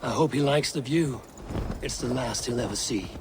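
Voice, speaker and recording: A man's voice: mid-range voice, noisy background, close to an everyday voice. A man playing a grave, blunt Viking warrior. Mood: grim resolve. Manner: measured, terse, grave. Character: steady, blunt Viking warrior, loyal ally. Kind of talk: dramatic video game acting. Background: music.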